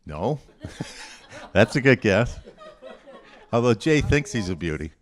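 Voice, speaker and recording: A man with a fairly low voice hosts a guessing quiz for a group. He reads quotations out deliberately and adds informal, conversational commentary as he goes. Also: very animated. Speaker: presenter of a quote guessing quiz.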